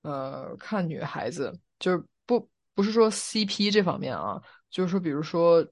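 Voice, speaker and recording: A woman's voice: fairly low pitch, expressive, normal speed, a little mellow. A woman co-hosting a podcast, giving her opinions in casual chat and explaining them reflectively, point by point. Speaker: podcast co-host.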